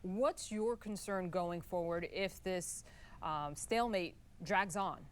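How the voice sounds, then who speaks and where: Woman, American accent, news anchor, slightly low pitch, clean smooth tone, broadcast interview delivery. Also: slow.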